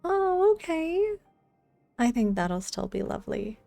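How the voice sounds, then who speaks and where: A woman streams a game with a fairly high, soft-toned voice. She talks slowly and casually, friendly with the people in her chat. The sound is close and dry. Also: very animated, gravelly, dry recording. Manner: friendly, greets viewers by name. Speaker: live streamer. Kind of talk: casual chat with viewers while gaming. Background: game music.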